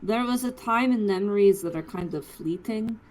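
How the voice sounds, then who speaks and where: Tentative woman with a medium-pitched voice. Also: normal speed, a little mellow, poor audio. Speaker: player in a tabletop role-playing game. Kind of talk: casual in-character game chat.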